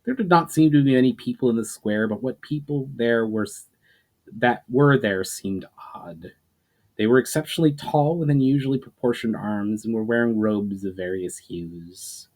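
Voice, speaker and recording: A man's voice: medium pitch, moderate pace, darkish tone. A man reading an adventure story aloud in a dramatic narration style, starting phrases emphatically and letting them trail off.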